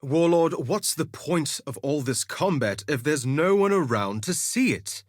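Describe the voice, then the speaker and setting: An actor playing a vain, flirtatious gladiator duelist in a video game, with a theatrical, suave and sardonic delivery. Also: male voice, a little high, animated, a little slow, no room sound, clean studio sound. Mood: cocky, theatrical. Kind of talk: scripted game voice lines, theatrical quips.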